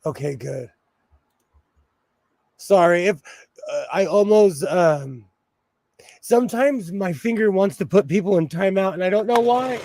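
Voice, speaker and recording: Man, higher voice, slightly hoarse, chatty livestream host, dry close sound. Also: expressive, a little slow, long pauses, a little mellow, no room sound. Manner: chatty, apologetic, laughs often. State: slightly hoarse, losing his voice. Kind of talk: casual livestream chat.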